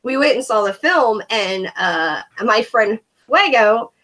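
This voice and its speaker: A woman's voice: a little high, expressive, slow, fairly bright tone. A woman from Korea hosting a casual video-call movie review, chatty and sarcastic. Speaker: host.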